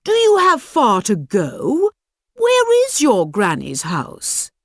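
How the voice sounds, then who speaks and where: Lady doing a sly storybook wolf, slow n coaxing, swoops around, hungry under the nice manners. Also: a little high, huge pitch swings, no echo, clean studio sound, pitched above the performer's own voice, heavily acted. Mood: sly, polite. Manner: smooth, coaxing, falsely polite. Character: sly, charming, hungry male fairy-tale wolf.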